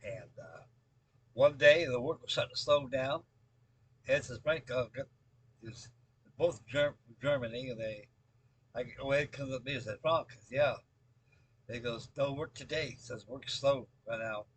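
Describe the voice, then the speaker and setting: An American man in his late seventies with a husky voice, chatting casually as a hobby video host in a halting, rambling way, often repeating himself. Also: mid-range voice, a little hiss or hum, poor audio. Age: late 70s. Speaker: scale model builder and video host. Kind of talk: casual update chat with rambling storytelling.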